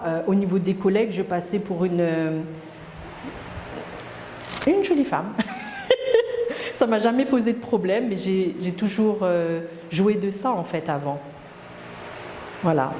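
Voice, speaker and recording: A woman's voice: mid-range voice, warm tone, slight background noise. Hesitant middle-aged woman, echoey room. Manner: hesitant and reflective, many fillers and restarts. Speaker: interviewee. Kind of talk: personal testimony interview. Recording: echoey room, long reverb, faint ambience.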